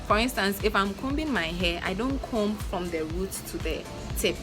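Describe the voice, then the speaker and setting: A woman's voice: medium pitch, expressive, normal speed. Ghanaian woman giving earnest advice. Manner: earnest, coaching, repeats her points. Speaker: natural hair vlogger. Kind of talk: casual advice vlog. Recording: music playing under the voice. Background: background music.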